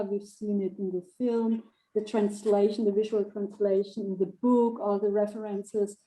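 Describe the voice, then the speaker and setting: A woman moderates an online panel discussion and puts her questions together slowly as she goes. Her tone is mellow and soft, and her pitch is middling. The sound has a roomy echo.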